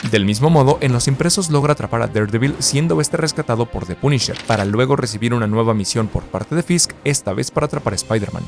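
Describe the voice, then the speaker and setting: A man narrating a scripted commentary video in a brisk, explanatory tone, raising teasing questions as he goes. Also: mid-range voice, lively intonation, gravelly, faint music behind. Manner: brisk, explanatory, lightly conspiratorial. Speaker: YouTube pop-culture commentator.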